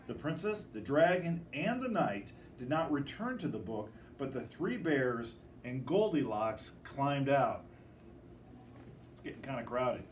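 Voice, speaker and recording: A man reading a children's picture book aloud in an expressive, dramatic storytelling manner. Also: medium pitch, a little slow, reverberant. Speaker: storybook reader. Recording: distant microphone with faint background noise.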